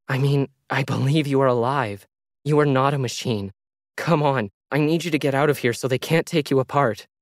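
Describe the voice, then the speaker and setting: A man's voice: medium pitch, normal speed, dry recording, studio quality, pitched above the performer's own voice, lightly acted. A man voicing a determined, pleading woman warehouse worker in an urgent, insistent way for an audio story.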